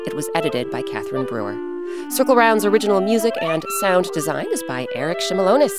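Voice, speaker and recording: Woman narrator, children's storytelling, lively intonation, dramatic pauses, scripted hosting, clear music bed. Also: deep voice. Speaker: host and narrator. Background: instrumental music.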